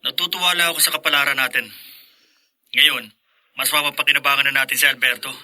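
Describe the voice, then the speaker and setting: A man voicing a greedy accomplice who plots to take a rich man's money, speaking quickly and conspiratorially in a radio drama. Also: medium pitch, steady tone, sharp tone, pitched below the performer's own voice. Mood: scheming. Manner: conspiratorial, hurried.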